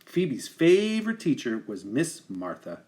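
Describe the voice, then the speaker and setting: A man reading a children's picture book aloud like a teacher, with expressive, deliberate emphasis for young listeners. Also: mid-range voice. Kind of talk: expressive children's storybook narration.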